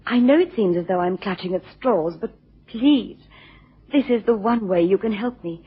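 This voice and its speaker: A woman's voice: medium pitch, lively intonation, normal speed, slightly rough. An actress playing a distressed, genteel wife in a radio drama, hesitant and pleading as she seeks help. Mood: anxious, beseeching. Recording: muffled lo-fi radio recording.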